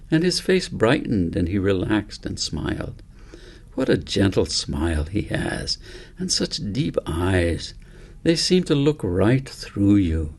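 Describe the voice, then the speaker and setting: A man's voice: medium pitch, creaky, a little mellow. A man voicing an earnest, precocious five-year-old girl in a storybook, telling her dreams in articulate, wordy sentences. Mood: excited, wondering, then awed, tender. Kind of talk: storybook character reading.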